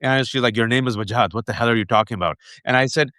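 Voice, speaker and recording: Animated storytelling man hosting an interview. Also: medium pitch, very fast, no echo, studio quality. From United States. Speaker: host. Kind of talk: conversational interview.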